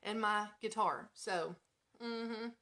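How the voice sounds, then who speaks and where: A woman's voice: mid-range voice, slow, sharp tone. A woman with an American accent and a mid-range voice hosts a casual live radio show. Her delivery is informal and chatty, slipping easily between reading items out and speaking straight to her listeners. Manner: informal, chatty, breaks into giggles and asides.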